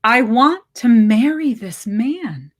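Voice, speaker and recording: A woman's voice: on the high side, expressive, slow, pitched above the performer's own voice. A woman reading a short story, voicing a desperate young kept woman who pleads emphatically, drawing out her words. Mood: desperate pleading.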